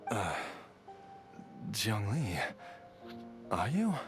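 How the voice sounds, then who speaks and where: An actor playing a gentle, bewildered father in a video game, speaking in a halting, earnest way. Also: lowish voice, big pitch swings, music in the background, exaggerated voice. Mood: dazed, confused. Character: gentle, bewildered husband and father revived from death.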